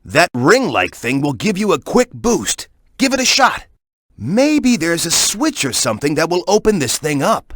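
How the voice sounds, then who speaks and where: A cocky young guy from a video game, with a fairly high voice that swings freely up and down. He hands out tips and encouragement in a casual, peppy manner, like a sidekick guiding the player. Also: fairly bright tone, no echo, studio quality. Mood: upbeat, encouraging.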